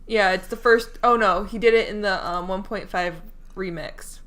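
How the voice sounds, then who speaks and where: A woman's voice: mid-range voice, normal speed, a bit bright. Giggly, hesitant woman co-host. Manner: hesitant, giggly, stumbles over names. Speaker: podcast co-host. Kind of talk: casual chat.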